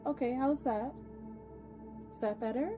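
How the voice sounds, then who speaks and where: A woman hosting a livestream, chatting casually with her viewers in a playful way, with chatty asides and drawn-out emphasis. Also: sits high. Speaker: VTuber streamer host. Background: soft ambient music.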